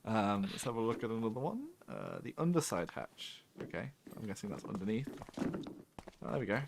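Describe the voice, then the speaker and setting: A man's voice: lowish voice, wildly swooping pitch, a little fast, gravelly, heavy background noise. A man, a game developer, talking casually and chattily during a gameplay panel, often laughing as he explains things. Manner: chatty, laughing, many fillers. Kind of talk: casual panel chat while playing.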